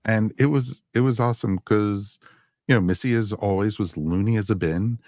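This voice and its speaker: A man with a low voice chats casually as a podcast co-host. He circles back over his phrases and moves haltingly through each point. The recording is dry and close. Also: a little mellow, dry recording. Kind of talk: casual, conversational review.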